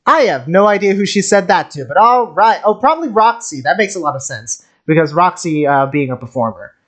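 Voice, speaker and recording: Casual gaming host, lively swinging intonation, halting off-the-cuff commentary, giggly manner. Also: mid-range voice. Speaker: host of a game reaction video.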